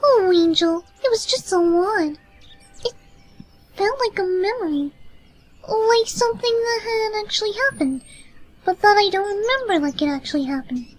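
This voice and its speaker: A gentle female pegasus pony from an animated fantasy audio story. The voice is extremely high, girlish and sweet. She speaks tenderly, like a caretaker to small animals, over a music bed. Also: moderate pace, low-quality recording. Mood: wistful, puzzled. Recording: music behind the voice.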